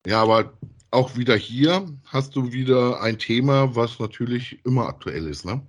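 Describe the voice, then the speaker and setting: Man, medium pitch, slightly rough voice, casual podcast talk, colloquial, rambling. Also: lively intonation, normal speed. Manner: colloquial, rambling, ends phrases with tag questions. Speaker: podcast host. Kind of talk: casual conversational review of audio dramas.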